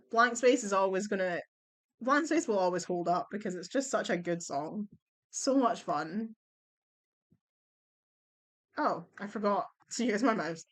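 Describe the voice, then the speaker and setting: A young woman chatting bluntly as she ranks songs at home on her computer, her voice going a little hoarse. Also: mid-range voice, fairly bright tone. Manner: chatty, blunt, swears and laughs easily. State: losing her voice. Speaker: vlogger ranking songs. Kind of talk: casual chat.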